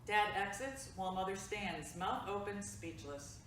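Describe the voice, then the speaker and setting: A woman's voice: medium pitch, lively intonation, unhurried, fairly bright tone, very echoey, distant mic, a little hiss or hum. A woman reading stage directions plainly for a play reading over a video call.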